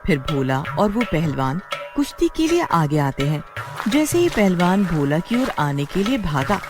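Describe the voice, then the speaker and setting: A woman's voice: on the low side, very animated, normal speed, music in the background. A woman giving scripted narration as the storyteller of a moral tale.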